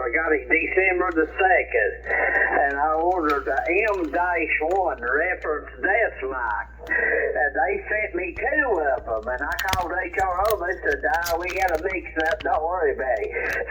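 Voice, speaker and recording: A man chatting casually over ham radio, folksy and halting, repeating himself as he goes. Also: a little high. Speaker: amateur radio operator. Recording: muffled ham radio transmission, light noise.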